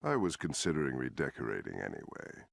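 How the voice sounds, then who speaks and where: An actor playing a smug, scheming tycoon villain in a video game, haughty and sardonic. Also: male voice, a bass voice, wildly swooping pitch, brisk, creaky, no room sound, studio quality, exaggerated voice. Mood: smug denial. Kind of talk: video game cutscene acting.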